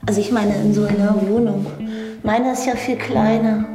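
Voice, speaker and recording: An actress playing a young woman doctor in a radio drama, a hesitant, softly insistent admirer who quietly yearns. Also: mid-range voice, fairly even intonation, moderate pace, reverberant, over music, lightly acted. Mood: hesitant yearning. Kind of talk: naturalistic radio drama acting.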